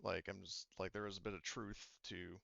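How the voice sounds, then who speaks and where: A man running a tabletop game as game master in casual talk, explaining rules in a halting, rambling way full of asides. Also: deep voice, flat delivery, gravelly, bright, forceful tone, no room sound, muffled recording.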